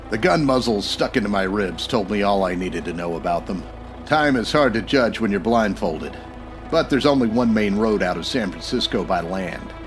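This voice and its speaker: A hard-boiled private eye played by a man in an old-time radio spoof. His voice sits low and swings through a lively range, sardonic and slangy, with music around it. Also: natural voice. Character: wisecracking tough 1940s male private eye, narrating his case. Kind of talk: old-time radio detective parody, narration and dialogue.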